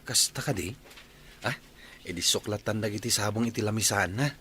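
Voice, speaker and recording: A man's voice: lowish voice, brisk, bright, forceful tone. A man playing a polite, persistent young suitor in an Ilocano radio comedy drama, with a Filipino accent. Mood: hopeful. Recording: clear background noise and ambience.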